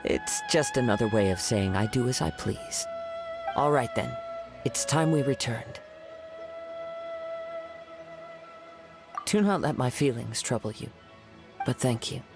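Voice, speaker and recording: Reflective young wandering samurai, music behind. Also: male voice, mid-range voice, wildly swooping pitch, moderate pace. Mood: composed. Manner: soft-spoken, wistful, formal phrasing. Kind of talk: English video game voice acting, measured storytelling. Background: game music.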